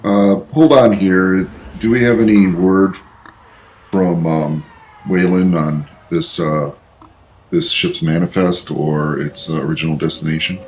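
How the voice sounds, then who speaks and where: A man's voice: low-pitched, fairly even intonation, unhurried, a little hiss or hum, poor audio. A man playing a tabletop role-playing game, asking questions in character with hesitant, broken phrasing.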